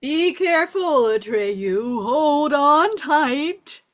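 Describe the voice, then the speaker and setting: A woman's voice: mid-range voice, big pitch swings, a little slow. A woman playing a wary, wise flying dragon, a loyal companion, in a script table read. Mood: urgent warning.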